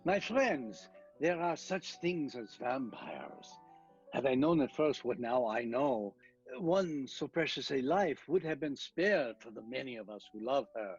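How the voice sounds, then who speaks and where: An actor playing a commanding older professor and vampire hunter in a radio drama, speaking in solemn, oratorical, stilted foreign-sounding phrases. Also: male voice, medium pitch, sing-song, a little slow, gravelly, husky, heavily acted. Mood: grave, rallying. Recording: dull lo-fi audio, music behind.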